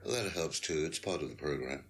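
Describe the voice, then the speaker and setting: An actor playing a guarded company boss in a mystery game, dismissing police questions with measured denials. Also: male voice, extremely low pitch, expressive, a bit bright, heavily acted. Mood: wary. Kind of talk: live-action game drama dialogue.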